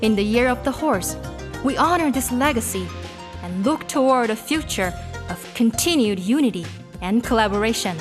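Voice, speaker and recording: A woman giving a solemn, scripted storytelling narration in a measured, formal and earnest manner. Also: fairly high pitch, lively intonation. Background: music behind the voice.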